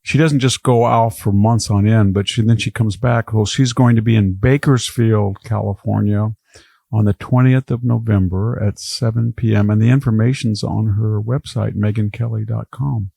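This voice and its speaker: A man's voice: fairly low pitch, fairly even intonation, normal speed, creaky, dark tone, breathy edge, no room sound. An older man, a historian, talking casually in a podcast, wandering from one anecdote to the next. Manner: rambling, anecdotal storytelling. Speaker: historian guest.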